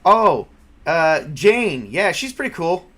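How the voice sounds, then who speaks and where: A man running a tabletop role-playing game as game master, narrating casually and haltingly as he improvises. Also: high voice, big pitch swings, sharp tone.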